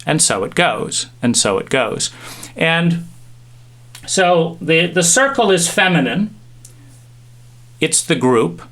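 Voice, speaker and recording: A middle-aged man giving a reflective talk, musing with pauses and restarts as he tells stories. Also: on the high side, big pitch swings, fairly bright tone. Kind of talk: reflective monologue with anecdotes.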